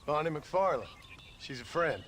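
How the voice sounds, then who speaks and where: An actor playing a gruff former outlaw turned rancher in a video game, with an American Western accent and a dry, laconic manner. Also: male voice, on the high side, expressive, unhurried, a bit bright, slight background noise. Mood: defensive.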